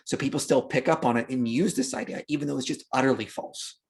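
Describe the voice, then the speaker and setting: A man's voice: medium pitch, fast, creaky, breathy edge. A man speaking as a podcast guest, explaining history in a casual, argumentative way with emphatic, dismissive opinions.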